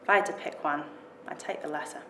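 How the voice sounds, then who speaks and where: Low-pitched woman reading a story, echoey room. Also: quick pace, bright, forceful tone. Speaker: writer reading own short story. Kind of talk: expressive first-person story reading. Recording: roomy echo with clear background noise.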